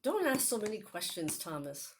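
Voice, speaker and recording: A woman's voice: mid-range voice, big pitch swings, normal speed, lightly acted. Irritated male schoolteacher rabbi for a children's story, read by a woman, scolding and curt, with background noise. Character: stern, irritated rabbi schoolteacher, adult man.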